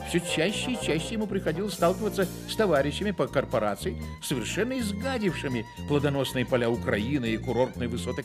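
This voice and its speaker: A man narrating a literary radio play in a theatrical, wry manner. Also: fairly high pitch. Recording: radio play with music behind.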